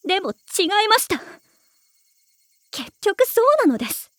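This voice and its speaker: A woman's voice: very high-pitched, very animated, a little slow, a bit bright, no room sound, clean studio sound, heavily acted. A woman voicing a proud, self-blaming teenage schoolgirl in an anime, with formal, refined ladylike speech. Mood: anguished. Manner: formal, refined ojou-sama way of speaking. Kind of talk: anime voice acting.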